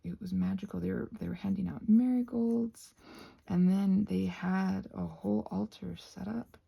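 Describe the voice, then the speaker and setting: A woman rambling casually and effusively in a soft ASMR style, often slipping into whispers as she thinks aloud while crafting. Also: medium pitch, fairly even intonation, moderate pace, vocal fry, husky.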